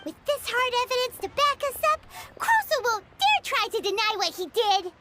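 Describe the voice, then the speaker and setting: A squeaky tiny girl companion. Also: sing-song. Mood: curious. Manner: eager, quick to exclaim. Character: tiny excitable girlish travel companion. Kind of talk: game voice acting. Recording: studio voice over game music.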